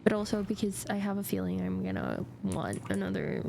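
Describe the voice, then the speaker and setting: A woman's voice: medium pitch, steady tone, a little fast, creaky, background noise. A woman chatting casually on a game livestream, muttering to herself with playful exclamations. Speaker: game streamer. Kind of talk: casual livestream gameplay chat. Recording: music behind. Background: game music.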